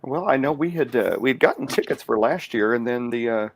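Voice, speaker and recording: Gravelly man, halting casual chat. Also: mid-range voice, animated, slight background noise. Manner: halting, full of uh pauses, dry humor. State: slightly raspy while recovering. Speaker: podcast co-host.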